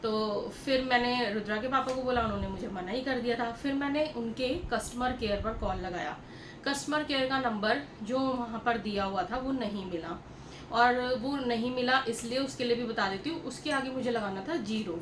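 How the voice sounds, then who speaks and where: A woman with a fairly high voice chats casually to her vlog viewers. She repeats points as she tells her story and speaks to them directly. The recording is roomy and echoey, with clear background noise. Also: moderate pace. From India. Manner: chatty, repetitive, addresses viewers directly. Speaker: vlogger.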